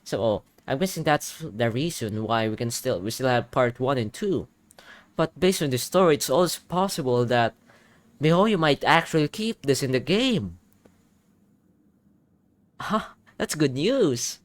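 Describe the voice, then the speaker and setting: A man's voice: medium pitch, animated, unhurried, dry recording. A young man giving casual, informal gaming reaction commentary, thinking aloud as he goes. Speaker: gaming reaction video host.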